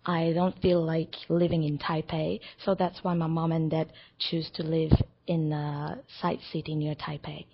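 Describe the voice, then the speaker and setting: A woman's voice: sits low, level tone, a little slow, creaky, hardly any pauses, slightly rough. A woman from Taiwan, answering in simple, plain sentences. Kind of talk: casual spoken answer. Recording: slightly muffled recording.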